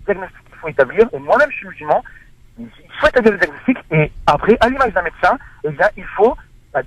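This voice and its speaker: A man's voice: sits high, dry recording. A man calling in to a radio talk show, speaking earnestly and stressing key words over a phone line. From Morocco. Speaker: radio caller.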